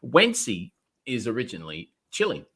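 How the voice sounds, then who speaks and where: A man's voice: mid-range voice, huge pitch swings, normal speed, fairly bright tone, slightly rough. A man hosting a podcast in casual chat, rambling with many ums and playful restarts. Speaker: podcast host.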